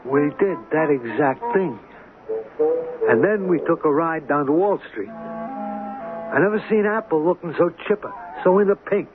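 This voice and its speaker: An actor in a 1970s radio drama playing a wary, plaintive small-time hustler who talks in streetwise slang. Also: male voice, fairly high pitch, unhurried, pauses often, music in the background, lightly acted. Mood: wry. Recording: tinny, noisy 1970s radio broadcast.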